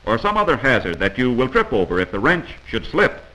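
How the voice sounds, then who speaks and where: A man's voice: medium pitch, very animated, fairly quick, sharp tone, rough recording. An American man narrating a scripted 1940s instructional film in a didactic, admonishing manner, heard on an old film soundtrack.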